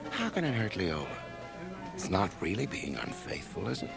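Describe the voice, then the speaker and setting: Cocky young society guy, lowish voice, very animated, breezy and full of himself, radio play with music. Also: moderate pace, creaky, exaggerated voice. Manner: breezy, pompous, self-assured. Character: glib, self-satisfied, ambitious young man-about-town. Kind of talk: radio drama dialogue. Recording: 1980 radio drama, home cassette transfer.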